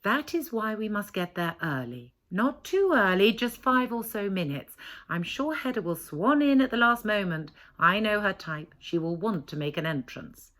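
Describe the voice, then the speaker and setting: A woman's voice: mid-range voice, very animated, moderate pace, a bit bright. A woman voicing a snobbish, competitive wife in a novel reading, genteel, airy and patronising. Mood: smugly superior. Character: snobbish, competitive, self-satisfied village queen bee wife.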